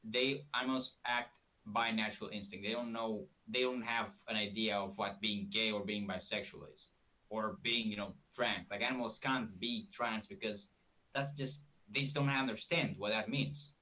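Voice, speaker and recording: A man's voice: on the low side, fairly even intonation, moderate pace, bright, forceful tone. A man from Romania, hosting a casual live stream in a rambling, self-correcting way, a bit far from the mic. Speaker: streamer host.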